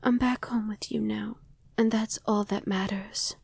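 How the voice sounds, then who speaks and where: A woman's voice: mid-range voice, fairly even intonation, creaky, darkish tone, slightly rough, no room sound. A woman playing a loving, wistful vampire wife in an intimate ASMR roleplay, speaking tenderly and often in a whisper, close to the microphone. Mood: protective, loving. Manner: slow, tender, coaxing murmur. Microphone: close, dry microphone.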